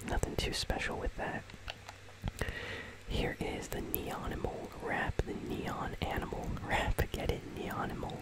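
A gaming host delivers ASMR commentary in a continuous whisper. The voice is breathy and unvoiced, with no full tone. The delivery is soft and casual, rambling gently from one remark to the next. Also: a little slow, poor audio. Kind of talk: ASMR whispered commentary on a game item shop.

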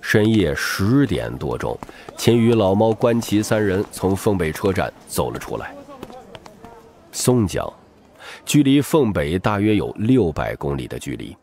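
A man's voice: low voice, big pitch swings, a little slow, a little mellow, a little hiss or hum. A man narrating an audiobook as a dramatic scripted story.

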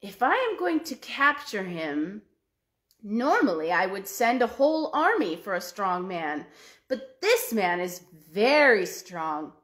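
A woman voicing a wary, ruthless male emperor in a folk tale, speaking in a stern, deliberate and pompous way. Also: medium pitch, big pitch swings, a bit bright.

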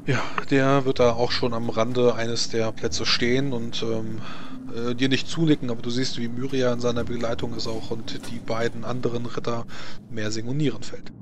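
Male game master over clear background noise. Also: medium pitch, little pitch movement. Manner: halting, searching for words. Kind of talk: improvised tabletop game narration. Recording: clearly noisy home recording.

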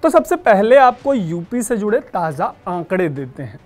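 A man presents news with a lively, swinging intonation. He explains things in an emphatic, conversational manner, with music faintly behind him in the studio. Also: sits high, normal speed. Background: background music.

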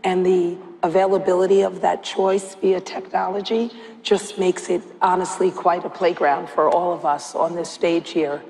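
Gravelly-voiced woman executive on stage. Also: on the low side, flat delivery, moderate pace, a little mellow. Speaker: media executive panelist. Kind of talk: panel discussion. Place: large hall stage. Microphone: lapel mic. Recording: long hall reverb, faint room noise.

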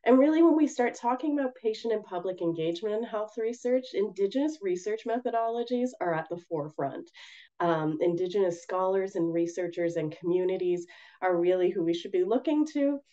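Woman presenter, hoarse and raspy, mellow gentle delivery, slightly muffled call audio. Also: mid-range voice. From Canada. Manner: gentle, measured, with frequent ums. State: hoarse from a cold. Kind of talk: webinar presentation. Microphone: video call.

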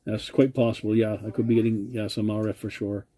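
A man's voice: on the low side, flat delivery, fairly quick, dark tone. A man making a CB radio contact, polite and using radio procedure words. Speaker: CB radio operator.

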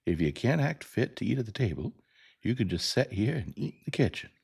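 A man's voice: on the low side, sing-song, brisk, creaky, a little mellow, husky, voice pushed up, full character voice. A man voicing a stern Southern housekeeper woman in an audiobook story, scolding in a bossy, folksy way with a Southern US accent. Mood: indignant scolding.

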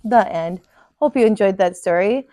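A woman in her thirties, a kindergarten teacher, reading a children's picture book aloud at home with expressive voices. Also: mid-range voice, moderate pace, dry recording. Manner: expressive, lively character voices. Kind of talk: children's storybook read-aloud. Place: living room at home.

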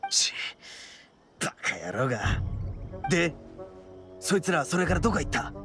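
A man playing a cocky young male oni gang leader in an anime video game, talking roughly and boastfully. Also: fairly high pitch, big pitch swings, normal speed. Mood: gruff, serious. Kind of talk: Japanese anime game voice acting. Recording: clear background music and game sounds.

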